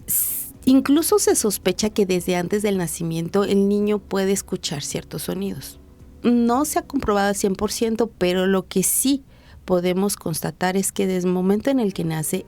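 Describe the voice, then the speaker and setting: Mexican lady doctor, lowish mellow voice, calm teacherly explaining, slows down on key bits. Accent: Mexican Spanish. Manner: measured, didactic, slows down to stress points. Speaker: physician, hearing and speech specialist. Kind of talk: interview answers, explanatory.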